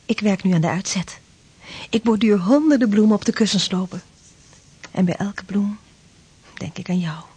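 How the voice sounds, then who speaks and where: Casting: actress as a young fiancée, breathy, radio drama. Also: female voice, mid-range voice, moderate pace, pauses often, dark tone. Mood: longing. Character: young Dutch merchant's daughter, devoted fiancée.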